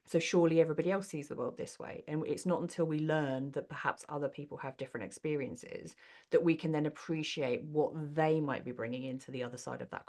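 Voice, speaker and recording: Coach leading a group session. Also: female voice, deep voice. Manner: warm affirming, asks questions of the group. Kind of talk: online workshop facilitation.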